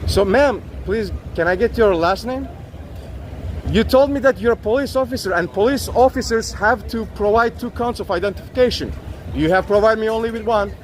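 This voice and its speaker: A man's voice: high-pitched, moderate pace, a little hiss or hum. A man who speaks English as a second language, filming police outdoors on a city street and persistently repeating polite but insistent demands. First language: not English. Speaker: citizen auditor filming police. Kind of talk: confrontational street recording.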